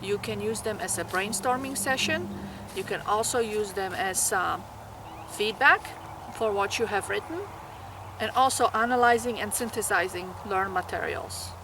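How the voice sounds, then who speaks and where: A woman's voice: mid-range voice, normal speed, background noise. A woman from Poland with a Polish accent, giving earnest, encouraging study advice to nursing students. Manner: earnest, encouraging, with small grammar slips.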